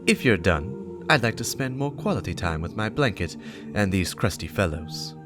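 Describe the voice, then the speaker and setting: A man's voice: lowish voice, expressive, normal speed, over music, natural voice. A man voicing a cynical, self-serving male rogue, curt and sardonic, in a dramatic game-lore reading. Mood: dismissive.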